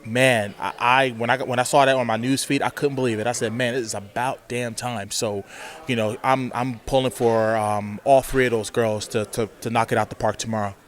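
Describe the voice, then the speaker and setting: A male wrestling interviewee with a medium-pitched voice. He speaks casually and earnestly, circling back and rewording his phrases as he goes. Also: fairly even intonation, normal speed. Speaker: pro wrestler being interviewed.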